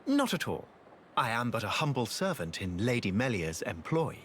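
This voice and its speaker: A fawning, theatrical soldier-courtier with swooping delivery. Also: male voice, mid-range voice, big pitch swings, moderate pace, fairly bright tone, a little hiss or hum. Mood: eager, servile. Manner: flowery, obsequious, archaic formal speech. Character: fawning male soldier, unctuous and scheming courtier. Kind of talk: video game voice acting.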